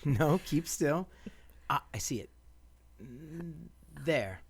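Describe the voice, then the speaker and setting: An actor playing a courteous, gently charming doctor in a radio play, polite and reassuring. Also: male voice, on the high side, animated, slow pace, slightly rough, full character voice. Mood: gentle, helpful. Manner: polite, reassuring, mildly hesitant.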